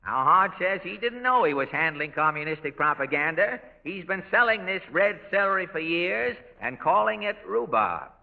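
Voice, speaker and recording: Male 1930s radio comic newsreader, high voice with gravelly creak, thin tinny broadcast sound. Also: sing-song, moderate pace, few pauses. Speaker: radio comedy monologist. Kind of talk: 1930s radio comedy news bulletin.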